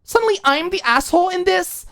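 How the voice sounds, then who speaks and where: A woman's voice: very high voice, expressive, fairly quick, vocal fry, fairly bright tone, voice pushed up, exaggerated voice. A woman voicing an indignant young female student, huffy and exasperated, in an audiobook drama. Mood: indignant ranting. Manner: huffy, exasperated, rhetorical questions. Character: Maud, indignant young woman student ranting at her roommate.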